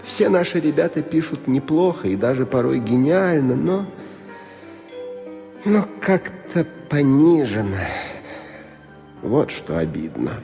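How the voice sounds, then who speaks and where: Rueful island chief, mellow dark voice, long reverb, plaintive, radio comedy. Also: male voice, mid-range voice, very animated, slow, creaky, long pauses, soft background music, exaggerated voice. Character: rueful island chief, leader of mediocre poets.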